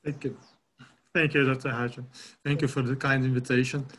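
A middle-aged man from Brazil with a Brazilian Portuguese accent, giving a halting academic webinar talk over a video call with many fillers and restarts. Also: medium pitch, level tone, fairly bright tone, breathy edge. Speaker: guest lecturer.

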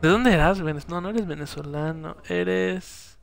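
A man's voice: high voice, unhurried, vocal fry. A young man, casually streaming a game and teasing his friend. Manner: teasing, playful insults. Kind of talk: casual gaming livestream banter.

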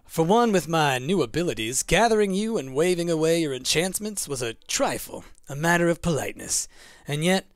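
A man voicing a proud, cryptic half-man moth creature who speaks in formal, archaic, measured riddles. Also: a little high, expressive, no echo, studio quality, lightly acted.

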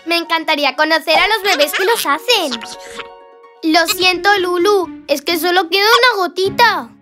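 A woman voicing an excitable, dramatic little baby in a children's cartoon, exclamatory and drawn out, with a Castilian Spanish accent. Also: extremely high pitch, moderate pace, music in the background. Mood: wistful.

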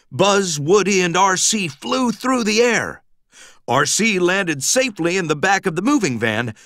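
A man reading a children's storybook aloud in an expressive, dramatic, emphatic storytelling manner. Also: a little high, no echo, studio quality. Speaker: storybook narrator.

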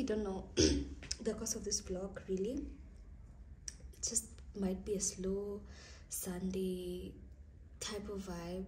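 Kenyan girl in her 20s vlogging in her kitchen, raspy morning voice, kinda rambly and half whispery, echoey room. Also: mid-range voice. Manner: relaxed, rambling, often drops to a soft near-whisper. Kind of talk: casual vlog chat. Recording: echoey room with faint background noise.

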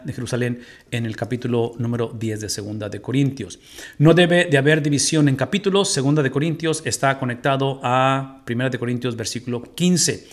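A middle-aged Mexican man with a Mexican Spanish accent, teaching a Bible study from a home room and putting rhetorical questions to his listeners. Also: medium pitch. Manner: animated, gestures while teaching, asks the audience rhetorical questions.

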